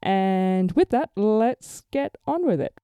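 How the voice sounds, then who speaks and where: A woman's voice: mid-range voice, lively intonation, slow, warm tone, clear, resonant tone, dry recording. A woman hosting a podcast interview, chatting in a friendly, casual way with lots of small affirmations. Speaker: podcast host.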